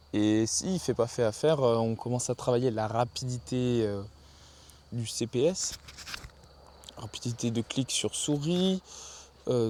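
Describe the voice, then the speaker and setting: A man's voice: mid-range voice, a little mellow, slight background noise. Rambling man talking outdoors among crickets. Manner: rambling, thinks aloud with frequent restarts. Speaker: video journal keeper. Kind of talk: casual spoken diary. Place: outdoors in the countryside.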